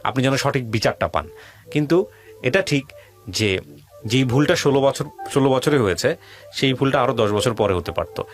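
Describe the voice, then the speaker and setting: A man's voice: mid-range voice, animated, normal speed, long pauses. A man with a Bangladeshi accent hosting a radio interview, bluntly pressing and advising, repeating phrases and mixing in English words. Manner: mixes English words into speech. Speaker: radio show host. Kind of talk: probing interview with advice to a guest.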